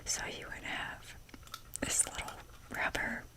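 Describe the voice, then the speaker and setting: A woman speaking in a soft breathy whisper, rambling casually and hesitantly with wry, self-deprecating humour in an ASMR show-and-tell style. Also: measured pace. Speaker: ASMR video creator showing a toy collection.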